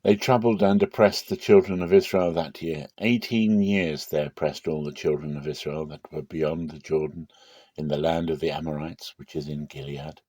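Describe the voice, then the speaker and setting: A man giving a dramatic scripture reading in measured, short phrases. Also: extremely low pitch, fairly even intonation, dark tone, no room sound. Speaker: Bible reader.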